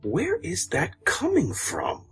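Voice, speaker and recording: A wry young housewife and mother as a male storyteller acts her out, raised in pitch and very animated. She muses to herself in an exaggerated, wondering way, in a storybook style over music. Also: slow pace, breathy edge, full character voice. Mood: uneasy. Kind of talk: acted quotes in a story.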